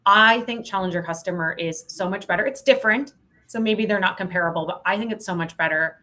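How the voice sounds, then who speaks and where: A woman's voice: medium pitch, brisk, pushed and bright. A woman speaking as a podcast guest in a casual interview, giving candid opinions with emphasis. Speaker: podcast guest, sales professional.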